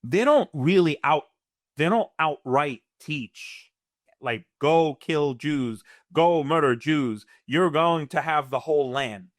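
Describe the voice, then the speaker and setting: A man's voice: on the high side, expressive, slow pace, no room sound. Earnest Arabic-speaking man, dry sound. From Gaza. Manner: earnest, emphatic, repeats words when starting sentences. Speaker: guest analyst. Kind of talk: interview.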